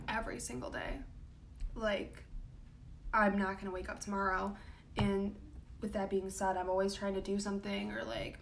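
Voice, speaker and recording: Young woman, raspy gravelly voice, rambling vlog talk, faint room noise. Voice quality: raspy, low voice. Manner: casual, rambling, frequent ums and likes. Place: home room.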